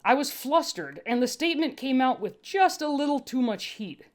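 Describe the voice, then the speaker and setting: A woman's voice: sits high, very animated, normal speed. A woman reading a first-person story aloud as scripted audiobook narration. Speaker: fan fiction audiobook reader.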